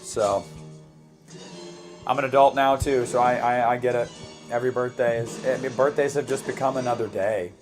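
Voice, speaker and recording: A man in his twenties co-hosting a live movie commentary, chatting casually with viewers in a relaxed way. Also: medium pitch, moderate pace, faint music behind. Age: 20s. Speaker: co-host of a movie commentary channel.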